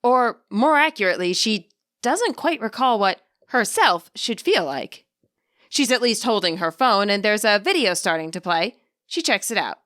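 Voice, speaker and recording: A woman narrating a video game story from a script, in a wry, expressive storytelling manner. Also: medium pitch, normal speed.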